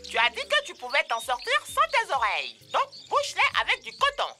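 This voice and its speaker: A woman voicing a motherly bird teacher in an educational children's cartoon, giving playful, animated instructions. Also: high voice, brisk, soft background music, full character voice. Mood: instructing, playful.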